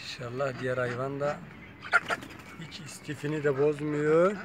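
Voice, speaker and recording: A man's voice: a little high, steady tone, normal speed, background noise. A man talking casually to his viewers while filming his chickens in an outdoor yard on a phone camera, chatty and coaxing. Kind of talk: casual vlog talk to viewers.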